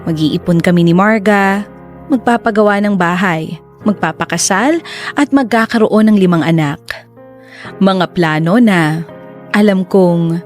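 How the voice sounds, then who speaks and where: A woman's voice: medium pitch, unhurried, long pauses. A woman reading a scripted first-person story as the narrator of a radio drama. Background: soft music.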